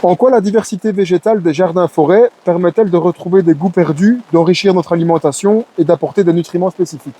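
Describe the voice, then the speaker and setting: A man's voice: high voice, fast talker, hardly any pauses, mellow. A man hosting an interview, asking his guest prepared questions. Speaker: host, nursery owner.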